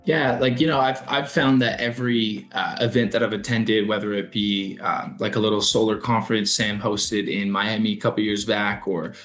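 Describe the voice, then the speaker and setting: Low-voiced, plainspoken Canadian man guest. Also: moderate pace, few pauses, soft background music. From Alberta, Canada. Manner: relaxed, many fillers and restarts, plainspoken. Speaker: sales manager guest. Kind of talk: casual interview answers.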